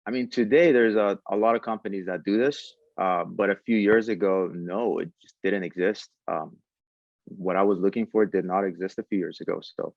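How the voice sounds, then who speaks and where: A man giving conversational answers as a podcast guest, speaking in a halting way with frequent pauses. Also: low-pitched, creaky, no echo, dull audio. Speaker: podcast guest, tech entrepreneur.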